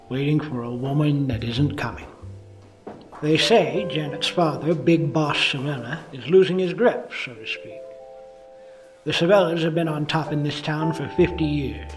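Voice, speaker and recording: An actor playing a gloomy, hard-drinking 1950s police detective, narrating a noir radio drama with wry self-mockery. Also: male voice, a little high, far from the mic, close to an everyday voice, low-quality recording. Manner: brooding, wry.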